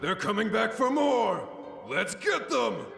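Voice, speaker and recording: A man voicing a brave, loyal, gruff samurai fighter in a video game. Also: high-pitched, very animated, slow, full character voice. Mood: determined. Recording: echoing, long reverb, prominent music.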